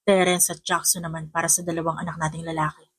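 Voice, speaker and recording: A devoted young Filipino husband from a romance audiobook, acted by a woman. The voice is lowered, tender and earnest in short phrases. The recording is very dry. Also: level tone, fast, husky, no echo. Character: young man, devoted groom and tender new father. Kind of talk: romance audiobook character acting.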